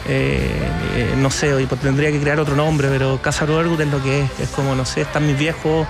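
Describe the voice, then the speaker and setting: A man's voice: medium pitch, flat delivery, brisk, gravelly, over music. A man with a Chilean accent, chatting casually as an interview guest. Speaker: interview guest, bar owner and sommelier. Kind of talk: casual conversation.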